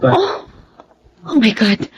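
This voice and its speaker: A woman's voice: on the high side, wildly swooping pitch, takes it slowly, muffled recording, heavy background noise, full character voice. An actress playing a protective, affectionate single mother with a Filipino accent in a television drama. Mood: alarmed, hurried.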